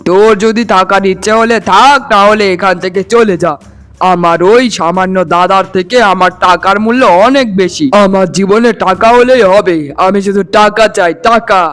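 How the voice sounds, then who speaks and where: A man's voice: high-pitched, normal speed, a bit bright, smooth, faint music behind. A man voicing a rich husband in a melodramatic cartoon, speaking in an overwrought way and repeating words for emphasis. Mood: scornful, threatening. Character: greedy, arrogant rich husband and younger brother. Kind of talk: melodramatic Bengali cartoon dialogue.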